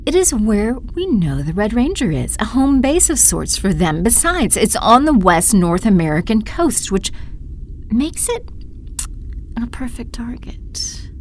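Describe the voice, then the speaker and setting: A woman playing an imperious evil empress, coldly commanding with breathy half-whispers, in an audio drama. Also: on the high side, big pitch swings, a little slow, slight background noise.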